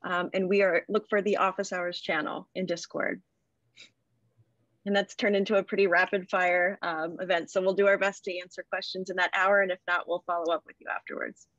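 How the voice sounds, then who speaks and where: Calm, practical middle-aged woman mentor, dry, slightly muffled call audio. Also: lowish voice, fairly quick, no echo. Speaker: program mentor answering questions. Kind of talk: casual explanation on a video call.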